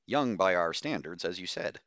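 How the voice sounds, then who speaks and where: A firm woman commander in an audiobook, acted out by a man. The voice is bright and forceful, authoritative and measured as she gives orders and reassurance. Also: deep voice, lively intonation, no echo, highs cut off. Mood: diplomatic.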